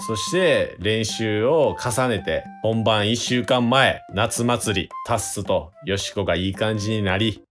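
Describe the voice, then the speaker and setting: A man's voice: fairly low pitch, measured pace, hardly any pauses, music in the background. A man with a Kansai accent co-hosting a casual comedy radio show.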